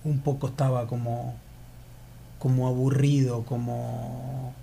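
A man's voice: mid-range voice, little pitch movement, slow pace, vocal fry, warm tone, slight background noise. A man from Argentina with an Argentine accent, an actor answering interview questions in a reflective, rambling way with many restarts. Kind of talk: casual interview conversation.

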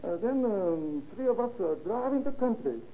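An actor playing a weak-willed immigrant confessing in halting broken English, in a 1930s radio crime drama. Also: male voice, high-pitched, expressive, a little hiss or hum. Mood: halting, matter-of-fact. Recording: tinny band-limited 1930s radio recording.

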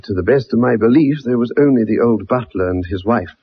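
A man's voice: medium pitch, a little fast, breathy edge, close to an everyday voice. An actor playing an earnest, dogged young ex-soldier, telling his story in a formal, dramatic way in a radio drama. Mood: earnest recounting. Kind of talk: 1960s radio drama acting. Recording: muffled old radio broadcast.

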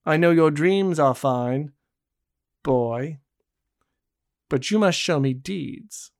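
A man voicing a spirited young woman who teases and gives orders, raising his voice high and lively for her. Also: takes it slowly, vocal fry, dry recording, clean studio sound. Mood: challenging. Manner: teasing, imperious.

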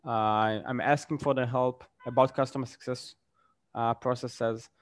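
A man's voice: mid-range voice, steady tone, unhurried. A man, a guest in a casual interview, explains his points with examples and often checks in with "right?".

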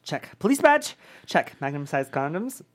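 Jittery, excitable goofball husband, lowish voice read by a woman. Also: measured pace, full character voice. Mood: excited. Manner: eager, jittery. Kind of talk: script table read.

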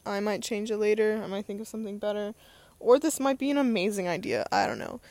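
A woman's voice: medium pitch, quick pace, darkish tone, no echo. Young woman rambling casually, dry close sound. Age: young adult. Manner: rambling, hesitant, thinking aloud. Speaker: solo podcast host. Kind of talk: casual unscripted personal monologue.

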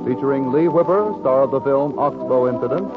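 An American man makes a grand radio announcement in the 1940s manner. His medium-pitched voice sounds dull and dated, with blaring brass music behind it. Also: fairly quick, creaky. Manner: grand, declamatory. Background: loud brass music.

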